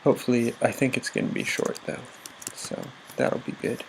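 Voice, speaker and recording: A man's voice: medium pitch, wildly swooping pitch, moderate pace, vocal fry, poor audio. A young man with a slightly stuffy, congested voice chats through a video game, upbeat and rambling, stopping to correct himself often. His voice sits in a medium register, recorded on a desk mic with a faint hiss underneath. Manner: rambling, upbeat, lots of ums and self-corrections. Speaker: gaming YouTuber. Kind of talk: casual Let's Play commentary.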